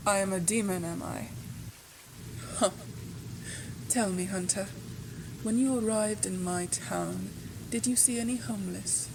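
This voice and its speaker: A woman performs a proud vampire baroness in an ASMR audio drama. She speaks slowly and deliberately in a medium-pitched female voice, weighing each phrase with imperious authority. A clear wash of rain noise sits over the recording. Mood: menacing, mocking. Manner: imperious, measured, deliberate delivery with dramatic pauses. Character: proud vampire baroness, menacing yet secretly tender. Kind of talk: scripted ASMR roleplay, slow and theatrical.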